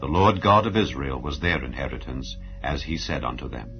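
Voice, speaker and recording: A man with a very low voice reads scripture in a solemn, formal style. His phrasing is measured and declamatory, as a Bible narrator's. The recording is somewhat muffled and lo-fi, with background music under the voice. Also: lively intonation. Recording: dull lo-fi audio under music.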